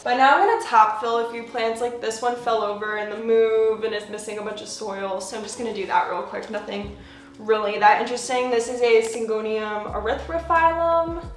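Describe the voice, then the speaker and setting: A woman's voice: on the high side, echoey room. A young American woman hosting a plant channel, chatting casually through viewer questions while repotting at home, laughing often and saying "like" a lot. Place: at home indoors, working on plants.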